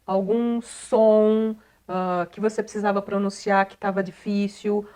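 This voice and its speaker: A woman's voice: medium pitch, flat delivery, a little slow, a little mellow, smooth. Brazilian woman interviewer asking questions. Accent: Brazilian Portuguese. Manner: friendly, laughs along, asks long rambling questions. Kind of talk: casual interview chat.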